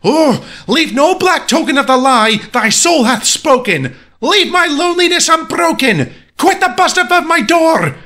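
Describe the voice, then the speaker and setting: A man playing an overwrought, frantic haunted gentleman in a melodramatic comic poem performance, exclaiming theatrically. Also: high-pitched, animated, moderate pace, voice pushed up. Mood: anguished fury. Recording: music under the voice. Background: music.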